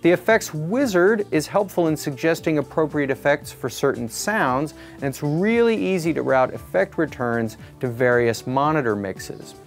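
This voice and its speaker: A man's voice: fairly high pitch, animated, moderate pace, hardly any pauses. A middle-aged man reading a scripted product review as the presenter. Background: background music.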